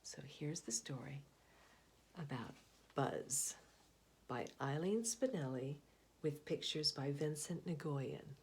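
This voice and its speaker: A woman reading a picture book aloud, expressive, often dropping to a hushed whisper. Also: sits low, a little hiss or hum. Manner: expressive, slow, hushed asides. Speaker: storybook reader.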